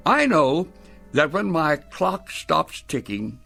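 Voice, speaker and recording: A man's voice: a little high, sing-song, slow pace, vocal fry, pushed and bright, soft background music, full character voice. An older man acting in a television drama as a stubborn, sly retired clockmaker with a wry, evasive way of talking. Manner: wry, evasive, answers questions with questions.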